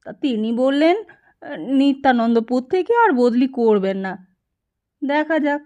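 A woman's voice: high-pitched, animated, slow pace, mellow. A woman storyteller voicing a meek, henpecked middle-aged husband, hesitant and placating.

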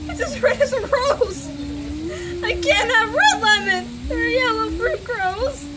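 A woman reading a picture book aloud in a theatrical, drawn-out way, outdoors and a little way from the camera's mic. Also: extremely high pitch, expressive, noisy background. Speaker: reader. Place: outdoors on a garden bench.

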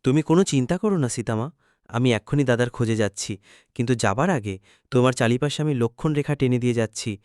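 A man's voice: mid-range voice, fast, a little mellow, dry recording, clean studio sound, lightly acted. A man voicing a dutiful young prince in a cartoon, reassuring and firm.

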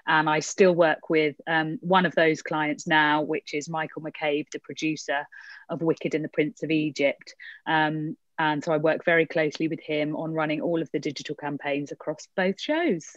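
Woman panelist, low voice, muffled dry call audio, quick with ums. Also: flat delivery, no room sound. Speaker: digital marketing lead, panelist. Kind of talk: panel self-introduction. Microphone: video call.

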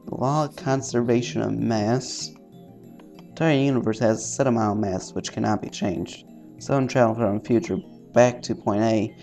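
A man playing a visual novel, reading its text aloud haltingly with casual, sweary commentary. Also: medium pitch, a little mellow. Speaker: let's-player. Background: faint game music.

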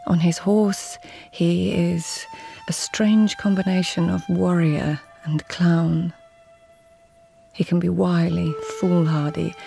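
A woman playing a poised, determined lady-in-waiting of old Japan in a radio drama, formal and measured. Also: fairly low pitch, gravelly, dark tone. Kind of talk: radio drama acting and narration.

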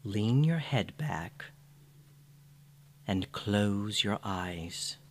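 A man with a fairly low voice delivers a scripted guided meditation. His pace is extremely slow, with soothing, gentle phrasing. A faint background hum sits under the recording. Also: animated.

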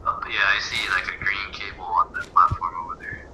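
A man's voice: deep voice, steady tone, fairly quick, bright, forceful tone. A man playing a family multiplayer game, chatting casually and thinking aloud in a hesitant way over voice chat. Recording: muffled lo-fi voice chat, faint noise.

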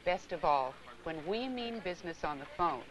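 A middle-aged actress playing a courteous, instructive receptionist in a scripted 1980s training film. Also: female voice, lowish voice, lively intonation, normal speed, crowd noise, close to an everyday voice. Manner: polite, clearly instructive. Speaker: receptionist and narrator. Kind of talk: scripted training-film narration and acted dialogue. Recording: 1980s film soundtrack, faint music.

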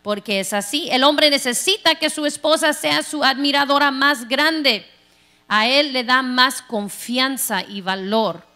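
A middle-aged woman pastor from Texas preaching a sermon on a large church stage into a handheld mic, animated and playful, acting out quoted voices. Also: high voice, a little slow. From Texas, United States. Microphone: handheld microphone.

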